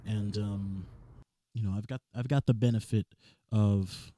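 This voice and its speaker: A man with a rough, raspy voice, talking casually about music as a guest in a studio room. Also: lowish voice, a little slow. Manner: relaxed, rambling casual chat with restarts. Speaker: blues and soul musician, podcast guest. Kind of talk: casual chat.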